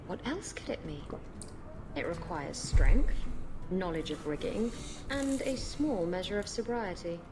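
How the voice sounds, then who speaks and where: An actress playing a sultry, worldly sea captain in a video game, teasing and self-assured. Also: female voice, on the low side, expressive, unhurried, background noise. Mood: teasing, flirtatious. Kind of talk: video game dialogue acting. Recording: game audio with music underneath. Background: music.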